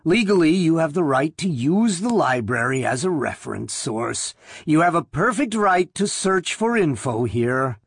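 A woman's voice: low voice, animated, a little slow, few pauses, breathy edge, no echo, clean studio sound, heavily acted. A woman reader voicing a stern, irritable middle-aged chief librarian in a harsh, mocking, imperious manner for a story. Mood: commanding.

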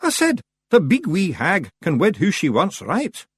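A high, swooping Scottish voice for a pint-sized clan chief. He is bossy and boastful, hectoring his troops in emphatic storybook speeches. The sound is close and dry. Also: wildly swooping pitch, unhurried, breathy edge, no echo, exaggerated voice. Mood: exasperated.

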